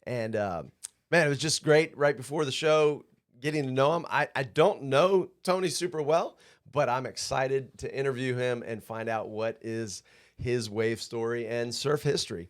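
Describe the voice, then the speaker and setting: Male podcast host, mid pitch, upbeat and chatty, laughs easily, casual studio interview delivery. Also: normal speed.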